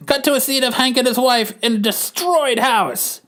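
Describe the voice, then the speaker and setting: A woman's voice: on the high side, moderate pace, fairly bright tone. A woman narrating scene directions as part of a dramatic comic-book reading on a podcast.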